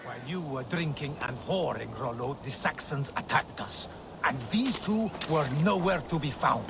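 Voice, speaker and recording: An actor playing a bitter, accusing Norse warrior in a video game. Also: male voice, a little high, animated, moderate pace, vocal fry, noisy background. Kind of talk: video game character dialogue.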